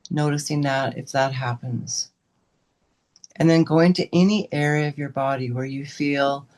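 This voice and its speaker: Woman gently leading a guided meditation. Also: rumbling low. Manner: unhurried, pausing between short instructive phrases. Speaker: meditation and yoga teacher leading a guided session.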